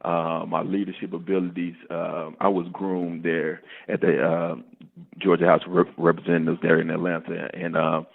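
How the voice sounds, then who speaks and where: Deep flat-voiced young man on a phone line. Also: moderate pace, creaky. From Arkansas, US South. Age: 20s. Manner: relaxed, easygoing, says "man" often. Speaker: podcast guest, startup co-founder. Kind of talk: casual interview chat.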